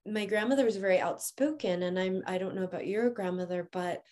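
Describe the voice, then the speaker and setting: A woman's voice: mid-range voice, fairly quick, mellow. A woman in her forties hosting a reflective conversation, talking earnestly and thoughtfully and laughing often. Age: 40s. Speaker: host.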